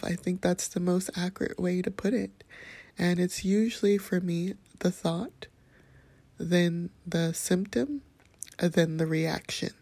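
A calm, soft-spoken woman hosting a podcast, sharing a reflective personal monologue in a hushed, whispery way. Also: on the low side, gravelly, no echo. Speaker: podcast host.

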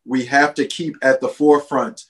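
A man's voice: medium pitch, little pitch movement, normal speed, a bit bright. A man speaking earnestly in conversation, persuading with a run of rhetorical questions. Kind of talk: casual conversation.

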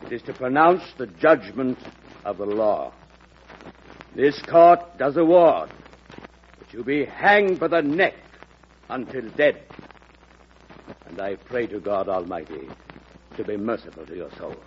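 A man's voice: mid-range voice, animated, a little slow, long pauses, natural voice. Actor as stern lawman, muffled vintage sound. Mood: grave, solemn. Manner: solemn, declamatory, authoritative. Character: stern, commanding man of the law, unyielding. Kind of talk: old-time radio drama acting. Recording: dull, noisy vintage radio recording.

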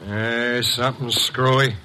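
A man's voice: mid-range voice, little pitch movement, a little slow, pushed and bright, slight background noise. An American actor playing a shifty, wisecracking small-time crook with slangy tough-guy talk in a classic radio mystery. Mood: defensive.

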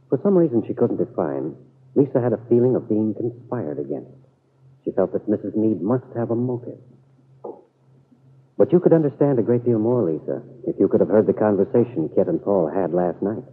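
A man's voice: fairly low pitch, slightly rough. A man giving scripted, confiding, dramatic narration for an old-time radio soap. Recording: muffled narrow-band vintage radio recording.

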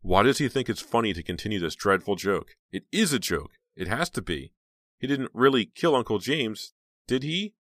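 A man's voice: deep voice, animated, fast talker, a bit bright, dry recording, clean studio sound. A man voicing a troubled, frightened young woman's bitter, questioning thoughts in an audiobook story. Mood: disbelieving, upset.

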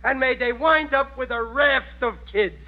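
A man's voice: very high-pitched, unhurried. A man playing a bumbling, good-hearted working-class American husband in a 1940s radio sitcom, with slangy, folksy bluster. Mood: bossy, jovial. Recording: muffled old 1940s radio broadcast.

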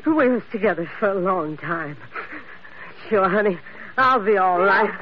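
An actress playing a brassy, needy older saloon keeper in an old radio drama, folksy and familiar. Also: female voice, mid-range voice, animated, unhurried, lightly acted. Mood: grieving. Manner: folksy, familiar, calls people honey. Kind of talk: 1940s-50s radio drama acting. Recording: dull, slightly noisy vintage radio recording.

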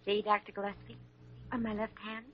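A woman's voice: medium pitch, flat delivery, brisk, close to an everyday voice. An actress playing a chatty, eager young woman in a 1940s radio drama. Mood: pleased. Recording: dull old radio recording, noisy.

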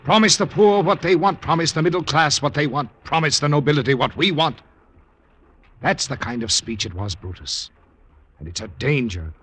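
An actor in a classic radio drama playing a scheming, persuasive Roman noble, insinuating and deliberate in his delivery. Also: fairly high pitch, lively intonation, fairly bright tone, breathy edge.

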